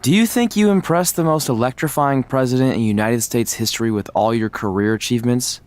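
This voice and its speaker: An actor playing an eager, joking young pro wrestler in a video game cutscene. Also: male voice, medium pitch, fairly quick, a little mellow, dry recording, natural voice. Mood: excited. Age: young adult.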